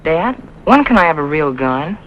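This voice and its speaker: Eager, tender-hearted boy, high and excitable, 1950s TV drama with muffled soundtrack. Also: moderate pace. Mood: eager, excited. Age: child. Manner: earnest, excitable, folksy. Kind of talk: 1950s television drama acting. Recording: dull, noisy 1950s TV soundtrack.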